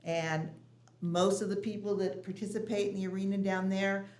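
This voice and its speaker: A woman's voice: mid-range voice, little pitch movement, moderate pace, darkish tone. A woman giving public comment at a city commission meeting, indignant, with frequent restarts. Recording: echoey meeting room.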